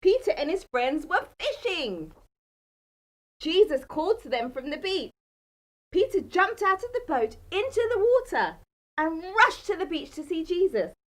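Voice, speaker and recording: A woman's voice: very high voice, big pitch swings, a little slow. A woman telling a children's bedtime Bible story in a dramatic, emphatic manner. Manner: dramatic, emphatic, asks the listeners questions.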